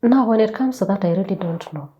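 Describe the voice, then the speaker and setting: Mellow Kenyan woman telling stories emphatically. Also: medium pitch, fast talker, dull audio. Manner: emphatic, repeats phrases, asks rhetorical questions. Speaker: guest author. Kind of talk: animated conversational storytelling.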